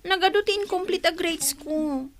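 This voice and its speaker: An actress playing a lazy, stubborn teenage daughter in an Ilocano radio drama, with whiny, offhand back-talk. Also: female voice, very high-pitched, moderate pace.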